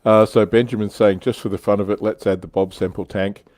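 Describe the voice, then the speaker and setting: Low pitched guy hosting a laid out hobby chat, really dry close sound. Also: fairly even intonation, a little fast, a little mellow, no echo. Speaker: livestream host. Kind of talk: casual hobby discussion.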